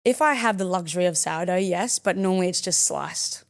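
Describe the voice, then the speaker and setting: A woman's voice: on the low side, a little fast, vocal fry. A young woman born in the United States, chatting as a guest on a podcast in an upbeat, easygoing way and laughing often. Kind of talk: casual interview chat. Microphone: studio podcast mic.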